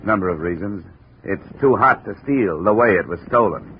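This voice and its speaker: An American actor playing a dry, world-weary insurance investigator in a 1950s radio detective drama. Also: male voice, fairly low pitch, expressive, normal speed, natural voice. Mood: blunt. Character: wry, hard-boiled male insurance investigator narrating his case. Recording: dull, hissy old radio recording.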